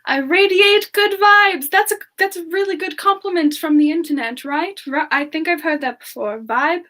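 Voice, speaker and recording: A woman voicing a sweet, affectionate demon character in a semi-ASMR livestream chat, with a gently giggly, endearing manner. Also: very high voice, moderate pace. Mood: cheerful, fond. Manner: gently giggly, affectionate, calls listeners darling. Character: Frostbyte, sweet affectionate demon woman, internet slang novice.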